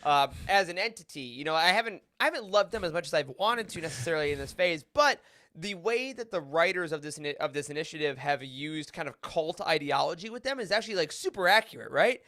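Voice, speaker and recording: A man co-hosting a podcast in casual chat, with an animated, jokey, emphatic way of talking. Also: fairly high pitch, quick pace. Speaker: podcast co-host.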